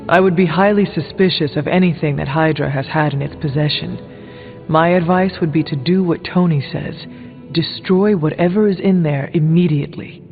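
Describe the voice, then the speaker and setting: An actor playing a serious, resolute warrior hero in a video game, with a measured, earnest and direct delivery. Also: male voice, a little high, phone-quality sound, natural voice. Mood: wary, firm. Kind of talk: scripted video game character dialogue. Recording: band-limited, reverberant game audio with music.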